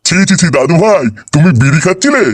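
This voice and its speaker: A man's voice: sits high, sing-song, fast talker, exaggerated voice. A man voicing a cranky, foul-mouthed grandfather in a comedy cartoon, blustering and scolding crudely. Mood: shocked. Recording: clearly noisy background.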